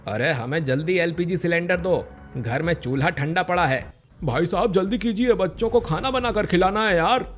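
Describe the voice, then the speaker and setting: A man's voice: mid-range voice, steady tone, moderate pace, hardly any pauses, a little mellow, faint music behind, close to an everyday voice. A man voicing an impatient customer who pleads urgently in a cartoon. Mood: impatient pleading.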